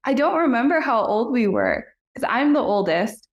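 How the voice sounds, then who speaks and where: Bubbly young woman, slightly high voice. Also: big pitch swings, moderate pace, highs cut off. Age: young adult. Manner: bubbly, giggly, lots of fillers. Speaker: guest host. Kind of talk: casual family chat.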